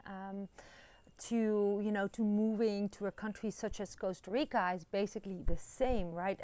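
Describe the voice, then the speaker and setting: Dutch lady in her 40s talking to her phone out in the yard, mid pitch, lots of ums, upbeat and encouraging. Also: clean tone, dry recording. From Netherlands. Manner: encouraging, chatty, many fillers and restarts. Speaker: vlogger. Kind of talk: casual talk to camera. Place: outdoors in a rural yard. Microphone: phone camera at arm's length.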